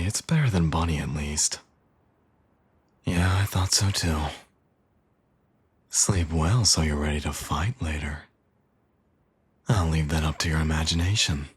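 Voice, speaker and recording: A man playing a smug, seductive villain and doting father in an intimate ASMR roleplay, murmuring in a teasing, sly way. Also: full character voice. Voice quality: husky, very deep, breathy at times.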